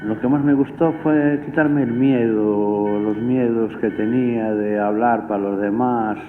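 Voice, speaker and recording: A man's voice: mid-range voice, steady tone, measured pace, faint music behind. A man giving an enthusiastic personal testimonial, repeating words for emphasis. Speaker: community radio participant. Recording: noisy tinny playback in echoey hall.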